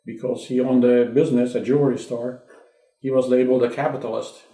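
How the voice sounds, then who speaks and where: Hungarian-born man in his sixties, oral history interviewee, reflective with restarts, mid pitch, echoey room. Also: little pitch movement, a little fast, mellow. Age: 60s. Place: his home. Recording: roomy home interview.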